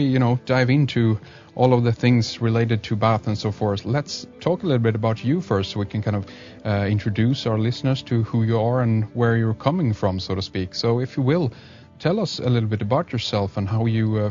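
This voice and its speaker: A man with a fairly low voice hosts a radio show, with music clearly playing behind him. He talks slowly and hesitantly, filling pauses with uhs as he introduces his guest. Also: a little mellow. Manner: slow, hesitant, many uhs. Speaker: radio host.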